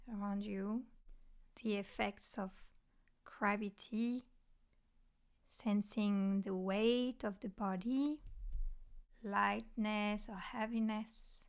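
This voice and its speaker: Slow-paced woman guiding meditation. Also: medium pitch. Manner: measured, pausing between short phrases. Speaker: mindfulness meditation teacher. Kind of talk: guided meditation narration.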